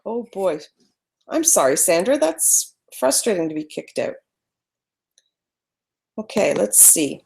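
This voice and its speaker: A woman's voice: mid-range voice, sing-song, takes it slowly, gravelly, pauses often. A woman hosting a live photography webinar, chatting through screen-sharing trouble on a computer mic. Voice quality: loud voice. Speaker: webinar presenter teaching photography. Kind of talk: casual live webinar teaching.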